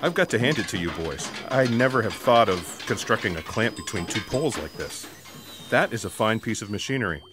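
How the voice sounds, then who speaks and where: Man playing an earnest, low-voiced investigator. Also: lively intonation, fairly quick, over music, natural voice. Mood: measured. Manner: earnest, wordy, formal explaining. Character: earnest, stubborn male investigator, logical and wordy. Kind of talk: sci-fi comedy audio drama acting.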